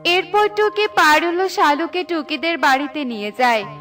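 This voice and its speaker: High-voiced woman narrating a children's story. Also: normal speed, a bit bright. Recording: music behind the voice. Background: background music.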